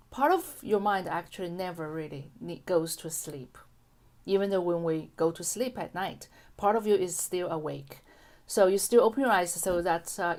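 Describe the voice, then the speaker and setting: A middle-aged woman hypnotherapist answering a listener's question in a calm, gentle way, with frequent ums and restarts. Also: lowish voice. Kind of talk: casual explanatory talk to camera.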